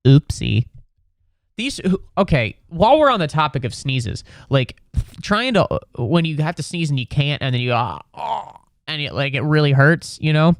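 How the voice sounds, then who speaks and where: A man in his twenties, sick with a cold, hosting a comedy podcast from home in a profane, exasperated rant with sudden squeaky outbursts. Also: animated, moderate pace, vocal fry, no echo, clean studio sound. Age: 20s. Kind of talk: ranting comedic monologue. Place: home room.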